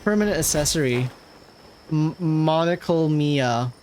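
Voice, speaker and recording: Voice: medium pitch, unhurried, a little hiss or hum. A gaming streamer giving casual, slangy, bemused reaction commentary over gameplay. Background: loud game music.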